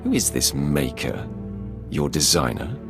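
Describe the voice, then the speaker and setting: A man playing a proud, commanding dark warrior in a video game, speaking in a haughty, formal way. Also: very deep voice, sing-song, unhurried, gravelly, over music, full character voice. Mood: coolly curious. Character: proud, commanding male Sith warrior, the Emperor's enforcer. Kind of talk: scripted video game dialogue.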